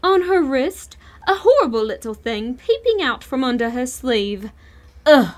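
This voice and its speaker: An actress playing a snobbish, complaining middle-aged wife in an audio story, disdainful and imperious. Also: female voice, high voice, big pitch swings, a little slow.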